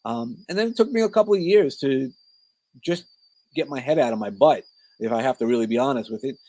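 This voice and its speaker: A middle-aged man speaks in a New York accent at an average pitch. He is a coach being interviewed, informal and conversational. He often interrupts himself and circles back as he tells personal stories. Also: animated, a little fast, warm tone, dry recording, poor audio. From New York, Brooklyn. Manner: informal, rambling, self-interrupting. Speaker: podcast guest, coach and speaker.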